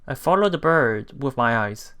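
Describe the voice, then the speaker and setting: A man reading a visual novel aloud as a let's play host, halting and self-correcting, with muttered casual asides. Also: mid-range voice, animated, fairly bright tone, clear, resonant tone.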